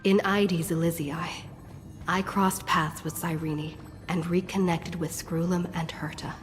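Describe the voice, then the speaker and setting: A woman voicing a solemn heroine who recounts a fantasy quest in measured, scripted video game narration. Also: lowish voice, fairly even intonation, lightly acted. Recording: long reverb under game music. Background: game music.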